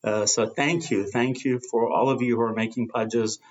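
A male radio host reading guest introductions and pledge notes in a measured, scripted voice-over. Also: mid-range voice, fairly even intonation, lo-fi sound. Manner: measured, reads from a script with some fillers.

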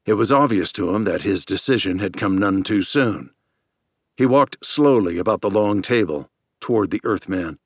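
A man with a fairly low voice narrates fiction in a scripted, dramatic audiobook style. The recording is close and very dry, with no room sound. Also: animated, normal speed, dry recording.

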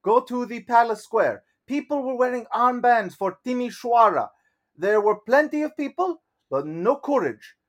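A man reading aloud as a young male painter giving a plain, halting account of events. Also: very high voice, animated, voice pushed up. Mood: earnest.

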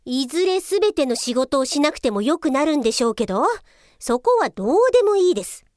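A woman playing a hot-tempered, sarcastic female police officer in a comedic radio drama, exasperated and rough-tongued. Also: sits high, very animated, quick pace, a little mellow, no room sound, clean studio sound. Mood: dry, exasperated.

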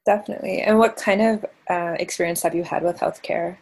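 A woman asks interview questions in a lowish voice with plenty of vocal fry. Her style is casual and gentle, and she tends to rephrase tentatively. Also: fairly even intonation, brisk.

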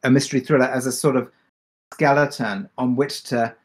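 A man speaking reflectively as a creator on a Q&A panel, pausing and repeating words as he works through his thoughts. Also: mid-range voice, steady tone. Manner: thoughtful, halting, repeats words mid-sentence. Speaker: podcast creator and executive producer on a panel.

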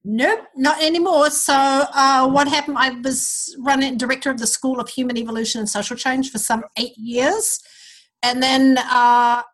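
A woman's voice: sits high, little pitch movement, normal speed, a bit bright, low-quality recording. Chatty New Zealand woman in her fifties. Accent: New Zealand, softened mid-Pacific. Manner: chatty, upbeat storytelling. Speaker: guest, anthropology professor. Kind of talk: casual interview over a call. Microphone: remote online call.

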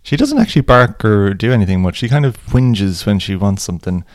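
A man's voice: on the low side, lively intonation, brisk, dry recording, studio quality. A man co-hosting a casual podcast, joking and rambling. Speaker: podcast co-host. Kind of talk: casual chat.

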